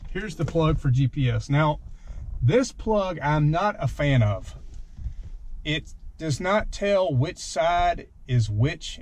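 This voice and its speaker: A man's voice: mid-range voice, darkish tone, highs cut off. A man walks through his gear setup. He is plain-spoken and unscripted, going step by step as he sits in his parked truck. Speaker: vlogger demonstrating his gear. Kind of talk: casual unscripted product walkthrough.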